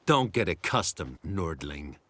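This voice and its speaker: An actor playing a haughty, suspicious soldier guarding a camp in a fantasy game, curt and disdainful. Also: male voice, sits low, big pitch swings, unhurried, a bit bright, exaggerated voice. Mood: wary, curt. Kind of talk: video game acting.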